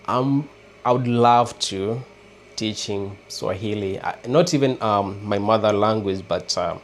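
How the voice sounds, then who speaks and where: A man with a Kenyan accent chatting casually with viewers on a livestream, hesitant and halting, often restarting words. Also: fairly low pitch, a little hiss or hum. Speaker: livestream host. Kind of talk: casual live chat with viewers.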